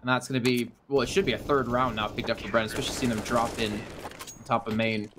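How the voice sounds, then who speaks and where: A man's voice: mid-range voice, level tone, fast, noisy background. A man casting a competitive shooter match, trading teasing, dry banter with his co-caster between rounds. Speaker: esports caster. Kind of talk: banter and play-by-play commentary.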